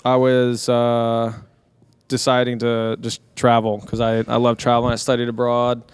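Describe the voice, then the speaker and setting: A man's voice: medium pitch, monotone, moderate pace, clean tone, no echo. A young man from the San Diego area, a DJ and producer, talking casually on a podcast in a rambling, laid-back way with many fillers. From San Diego area, California. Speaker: guest DJ and producer.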